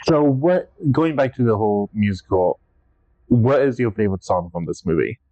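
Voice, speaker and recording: A man's voice: on the low side, expressive, moderate pace, mellow, dry recording. A young man co-hosting a casual podcast chat, easygoing and a bit hesitant. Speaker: podcast co-host. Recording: dry, dull lo-fi sound.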